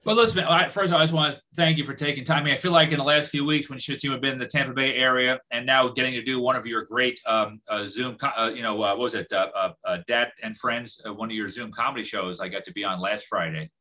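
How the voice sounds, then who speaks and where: A man's voice: mid-range voice, steady tone, quick pace, hardly any pauses, pushed and bright. A man hosting an interview, eager and rambling, stumbling over his words as he asks questions. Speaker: podcast host. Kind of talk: casual interview chat.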